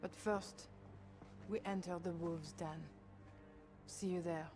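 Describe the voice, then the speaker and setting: A woman's voice: lowish voice, fairly even intonation, slow, music in the background. An actress playing a resolute resistance woman in a war game. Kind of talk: war game cinematic acting.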